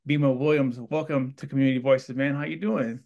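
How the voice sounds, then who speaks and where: A Texan man hosting an interview, talking in an enthusiastic, effusive and rambling way into a studio mic on a boom arm in a small indoor room. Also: steady tone, fairly quick, vocal fry, a little mellow, lo-fi sound. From Texas. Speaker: host.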